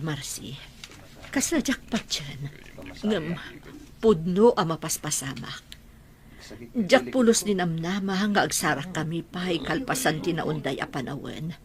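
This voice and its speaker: An actress with a Filipino accent playing a devoted older bride-to-be in a sentimental radio drama, gentle and heartfelt. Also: female voice, fairly low pitch. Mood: happy.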